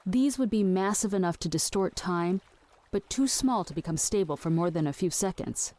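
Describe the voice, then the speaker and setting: An actress playing an earnest, pedantic woman researcher with fringe theories in a scripted audio drama, speaking in a measured, matter-of-fact way. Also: lowish voice, animated, mellow, natural voice.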